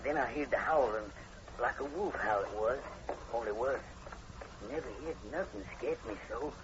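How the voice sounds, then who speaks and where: A man's voice: medium pitch, moderate pace, lightly acted. An actor playing a superstitious, terrified country man with agitated, folksy rural speech in an old-time radio drama. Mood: awed, fearful. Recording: muffled, very noisy old radio recording.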